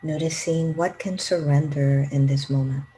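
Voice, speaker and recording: A woman leading a guided meditation in a calm, gentle, unhurried manner with long pauses. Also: sits low, a little hiss or hum. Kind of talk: guided meditation, slow spoken instructions.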